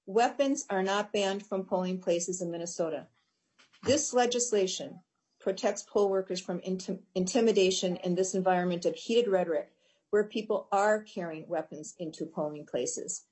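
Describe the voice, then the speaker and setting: A woman with an American accent reads prepared testimony in a measured, formal way. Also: mid-range voice, darkish tone, breathy edge, rough recording. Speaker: poll worker testifying at a hearing.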